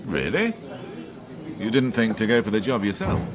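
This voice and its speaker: Radio drama: man as gentleman police officer, dry, measured, fairly deep with lively inflection, music underneath. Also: lightly acted. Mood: wry, knowing. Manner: understated, dryly hesitant.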